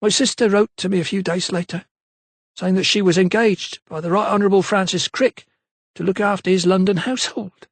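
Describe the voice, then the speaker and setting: A man's voice: high-pitched, fairly even intonation, fairly quick, no echo, voice pushed up. A man doing a timid working-class young maid. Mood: timid, earnest. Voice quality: rustic nonstandard speech. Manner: hesitant, deferential.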